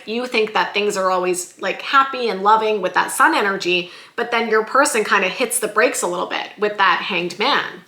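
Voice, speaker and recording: A woman giving a weekly tarot love reading in a chatty, lively way, her voice going a little hoarse. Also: mid-range voice, echoey room. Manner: chatty, lively, says "like" and "you guys" often. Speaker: tarot reader.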